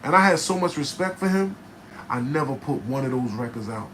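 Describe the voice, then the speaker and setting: A man from New Jersey, a rapper, telling a studio story in casual, profane style with a raspy voice, often asking "right?". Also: medium pitch, a little fast. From New Jersey, USA. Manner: animated, profane, keeps checking in with "right?". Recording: noticeable background noise.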